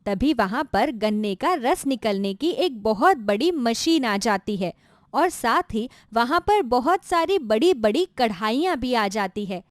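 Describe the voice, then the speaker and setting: A woman's voice: on the high side, lively intonation, a little slow, no room sound, studio quality. A woman narrating a scripted children's moral story as a storyteller.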